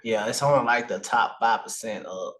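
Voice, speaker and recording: Low-voiced man explaining calmly. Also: moderate pace. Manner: calm, explanatory advice-giving. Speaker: podcast guest, travel hacking expert. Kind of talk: casual podcast interview.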